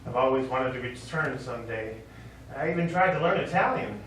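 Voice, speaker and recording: A stage actor, a man, playing a reserved, grieving middle-aged American widower and war veteran, speaking in a halting, subdued way. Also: medium pitch, lively intonation, fairly bright tone, close to an everyday voice. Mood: wistful, hesitant. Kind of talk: live stage play dialogue. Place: small indoor theatre studio, recorded from audience distance. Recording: very echoey, distant, noisy stage recording.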